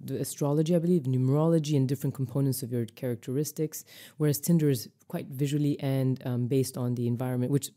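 Female podcast guest, very deep and dark timbre, earnest explainer. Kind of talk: conversational explaining.

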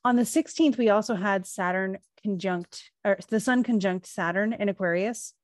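Woman, podcast co-host, casual explainer, mid-pitched voice, dry close mic. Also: normal speed, no echo. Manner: thoughtful, explains at length with many fillers and restarts. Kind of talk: casual spiritual podcast chat.

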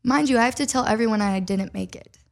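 A woman's voice: on the high side, quick pace, gravelly. A young woman from Minnesota, a singer and podcast guest, telling her story in a chatty way full of "like" fillers. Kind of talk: casual storytelling chat.

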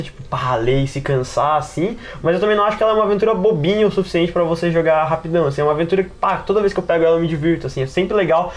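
A man with a Brazilian accent hosting a casual podcast discussion in a chatty, slangy, rambling way. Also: a little high. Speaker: podcast host and game master.

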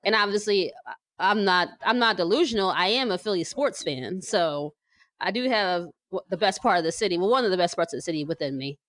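A woman's voice: on the low side, a little fast, a bit bright, no echo. American woman hosting a podcast, dry sound. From New Jersey, United States. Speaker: podcast co-host. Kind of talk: casual chatty podcast introduction.

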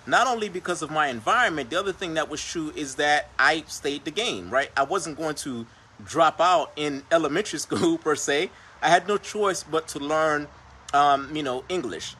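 A man who grew up in Haiti and first spoke Creole hosts a motivational show. His voice is bright and forceful. He repeats himself and leans on little tags like "right?" A light hiss of background noise sits under him. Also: a little high, lively intonation, normal speed. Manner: earnest, repeats phrases, says "per se" and "right?". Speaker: podcast host. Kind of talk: motivational livestream talk.

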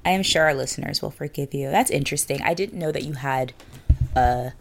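A woman with a low, gravelly voice co-hosts a casual podcast. She sounds tired and congested, a little stuffy and nasal. She chats in a playful, joking way. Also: fairly quick, a little hiss or hum. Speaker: podcast co-host. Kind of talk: casual chat.

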